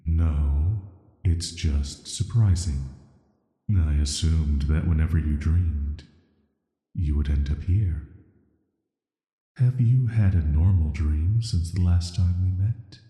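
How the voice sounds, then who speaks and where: A man playing an ancient banished villain, sealed away and softly menacing, speaking in a flat, hesitant, measured way for an atmospheric sleep-aid audio roleplay. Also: very deep voice. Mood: pensive, curious. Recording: roomy echo with long reverb.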